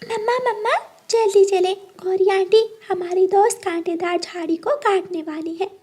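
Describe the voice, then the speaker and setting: A caring little cartoon girl bird, played by a woman, with an extremely high, mellow voice. She speaks sweetly and earnestly. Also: fairly even intonation, normal speed. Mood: urgent. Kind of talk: Hindi moral cartoon character acting.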